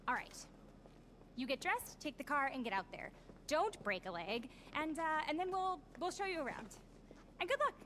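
Frazzled, high-voiced young assistant. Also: female voice, sing-song, moderate pace, fairly bright tone, slight background noise. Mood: flustered. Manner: awkward, flustered, sarcastic. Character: frazzled, resentful young female production assistant.

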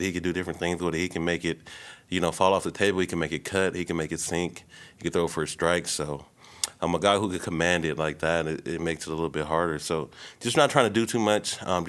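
Young male athlete, very deep voice, laid-back press-room answers, desk mic. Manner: relaxed, many ums and you-knows. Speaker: baseball player. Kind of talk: post-game press conference. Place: press room before a logo backdrop. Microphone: desk mic on a stand.

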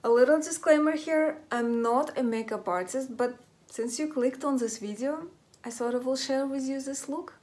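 A woman's voice: sits high, moderate pace, darkish tone. A young woman, a native Russian speaker with a Russian accent, presenting a makeup tutorial to viewers as a beauty vlogger. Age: young adult. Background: background music.

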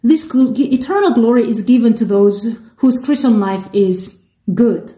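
A woman with a Korean accent interpreting a sermon into English, measured and halting, with restarts. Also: a little high, mellow, echoey room.

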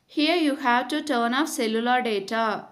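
A woman reading scripted step-by-step tutorial narration in a measured, instructional way. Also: a little high.

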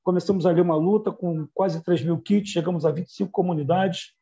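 A man's voice: sits high, fairly even intonation, fairly quick, dark tone. A middle-aged man with a Rio de Janeiro accent, a community leader giving a hesitant thank-you speech over a video call. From Rio de Janeiro, Brazil. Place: small room on a video call. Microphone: wired earphone mic. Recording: dull, lo-fi video call audio.

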